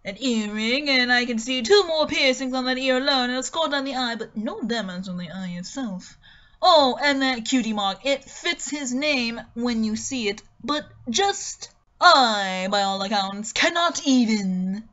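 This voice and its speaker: A woman giving an expressive, theatrical dramatic reading of a written online review. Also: fairly high pitch, measured pace, muffled recording. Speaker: dramatic reader.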